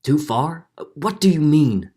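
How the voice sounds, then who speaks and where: A man's voice: on the high side, expressive, slow pace, dark tone, slightly rough, full character voice. A man playing a middle-aged judge, earnest and guilt-ridden, in a dramatic 1940s radio drama style with hesitant turns. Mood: defensive, indignant. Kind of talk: 1940s radio drama acting with first-person narration.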